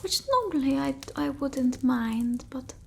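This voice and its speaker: A woman playing a petulant female vampire in a whispered ASMR roleplay, with a theatrical, breathy delivery. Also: high-pitched, lively intonation, slight background noise, full character voice. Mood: upset, sulky. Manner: theatrical, slow, aggrieved. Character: petulant, melodramatic female vampire from an old village.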